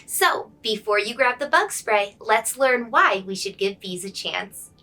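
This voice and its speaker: A woman reads a picture book for young children in a very animated voice that swoops up and down. She takes her time, explaining each point with clear emphasis like a kindergarten teacher. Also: medium pitch, a little slow. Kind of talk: expressive children's read-aloud storytelling.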